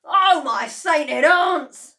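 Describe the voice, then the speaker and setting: A woman voicing an excitable, loud, middle-aged farmer father who shouts orders frantically. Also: high voice, slow pace, voice pushed up, exaggerated voice. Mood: frantic.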